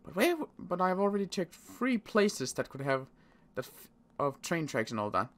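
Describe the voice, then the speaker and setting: A man playing a worried zombie apocalypse survivor in an improvised game roleplay, muttering to himself and repeating words haltingly. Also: sits high, very animated, a bit bright. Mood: anxious, thinking aloud.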